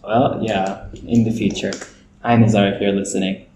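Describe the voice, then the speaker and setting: A man's voice: on the low side, normal speed, reverberant, noisy background. A young man, a student raised in Saudi Arabia, co-hosting a casual podcast and often laughing. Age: young adult. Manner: laughs often, relaxed banter. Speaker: podcast co-host. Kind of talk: casual chat.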